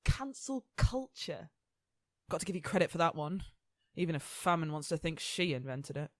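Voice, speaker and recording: A woman's voice: sits low, sing-song, moderate pace, no echo, clean studio sound. A woman playing a haughty, impatient immortal entity in a radio play, scornful, sardonic and sweary. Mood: weary, sardonic.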